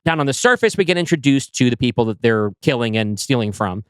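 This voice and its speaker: Man, podcast co-host, reading his own script, animated, lively pitch, dry sound. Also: quick pace, clear, resonant tone, no room sound. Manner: animated storytelling with fillers and restarts.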